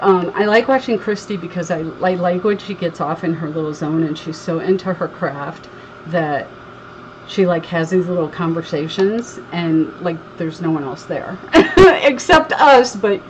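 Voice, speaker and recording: Low-voiced chatty woman from Connecticut. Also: a little slow, dull audio, noisy background. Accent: slight Southern twang. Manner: chatty, reads viewer comments aloud, laughs easily. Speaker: crafting livestream host. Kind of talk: casual live crafting chat.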